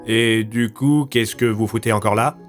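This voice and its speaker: A man's voice: fairly low pitch, fairly even intonation, moderate pace, faint music behind, lightly acted. Sarcastic, grumbling police inspector played by a man. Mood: gruff teasing. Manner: dry, grumbling, teasing. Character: gruff, sarcastic, sceptical male police inspector. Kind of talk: audio drama acting.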